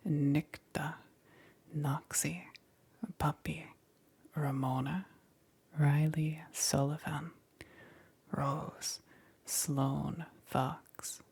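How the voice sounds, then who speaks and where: A woman speaks in hushed ASMR style, reading slowly and evenly. Her voice is low and very breathy, mostly whispered, with some creak. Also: no echo. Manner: slow, hushed, measured. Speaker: ASMR creator thanking supporters.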